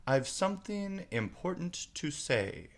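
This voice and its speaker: Storybook caterpillar, performed by a man: stern, condescending, very slow, terse, creaky. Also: on the high side, very animated, pitched above the performer's own voice. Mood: curt. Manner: slow, drawn-out, terse questions. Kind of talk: storybook character voice.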